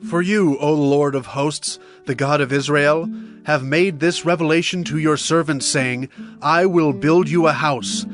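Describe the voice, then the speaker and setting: A man's voice: a little high, unhurried, close to an everyday voice. A man playing a humble, devout king in a dramatized audio Bible, speaking in an earnest, reverent declamation. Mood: reverent, grateful. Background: soft plucked guitar music.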